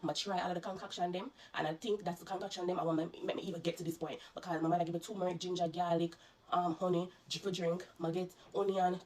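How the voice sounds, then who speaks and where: A woman's voice: fairly low pitch, a little mellow, slight background noise, rough recording. A Jamaican woman vlogger, congested and coughing, earnestly recounting her illness to her viewers and warning them. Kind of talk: personal storytelling to viewers.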